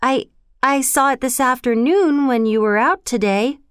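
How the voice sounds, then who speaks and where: An excitable, earnest little girl from a storybook, acted out by a woman. Her voice is high and clear, with a breathless, dramatic gush. The sound is dry and close. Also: normal speed, dry recording, natural voice. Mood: sheepish. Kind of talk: storybook character voice.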